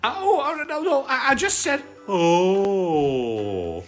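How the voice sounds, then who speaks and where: A storybook reader voicing a small, timid, nervous male piglet with a squeaky voice. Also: sing-song, extremely slow, faint music behind.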